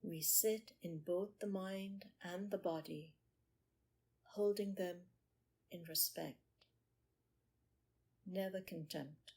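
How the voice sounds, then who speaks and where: A woman leads a guided meditation at a very slow pace. Her voice sits a little low and has a husky, breathy grain. She gives each instruction in short, measured phrases, leaving space after them. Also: fairly even intonation, darkish tone. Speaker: meditation teacher.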